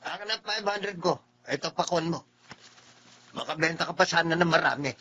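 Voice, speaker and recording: A man's voice: on the high side, moderate pace, pushed and bright, slight background noise. An actor playing a patient, good-natured father of forty in a Filipino radio drama. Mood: firm. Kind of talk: Filipino radio drama acting, first-person narration and dialogue. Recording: muffled radio-drama audio with music behind.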